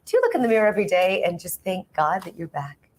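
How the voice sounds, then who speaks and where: A woman's voice: fairly low pitch, big pitch swings, fast talker, slight background noise. A woman hosting an interview show, introducing her guest and asking about her life, with frequent encouraging interjections. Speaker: talk show host. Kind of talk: studio interview.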